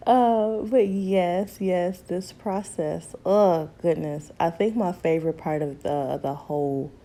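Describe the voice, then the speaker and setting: A young woman in her twenties, hoarse from a cold, chatting casually through a hair tutorial and calling her viewers ladies and honey. She is recorded in a small bedroom on the camera's own mic. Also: on the low side, fairly even intonation, slow, warm tone. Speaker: beauty vlogger. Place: small bedroom at home, indoors. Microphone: camera mic, seated close in front.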